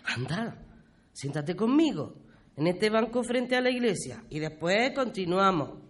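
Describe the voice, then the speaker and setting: Woman acting weary Spanish grandmother, lively intonation. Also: medium pitch, unhurried. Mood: reassuring. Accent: Spanish, from Spain. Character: weary, protective old village grandmother. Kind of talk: amateur radio play acting.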